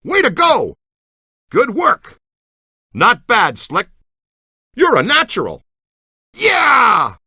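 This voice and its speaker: Excitable, bright-voiced ghost hunter guy. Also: very high voice, extremely slow, long pauses, slightly rough, dry recording, studio quality, heavily acted. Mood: excited, praising. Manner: enthusiastic, slangy, folksy team-leader talk. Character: eager, excitable middle-aged male ghost hunter mentoring a rookie. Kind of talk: video game voice acting.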